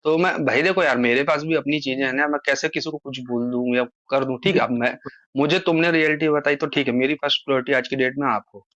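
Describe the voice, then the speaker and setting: A man's voice: medium pitch, fast talker, a bit bright, no echo. A man in a casual phone conversation, talking at length as he explains himself and appeals to the listener. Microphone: phone call. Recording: muffled lo-fi recorded phone call.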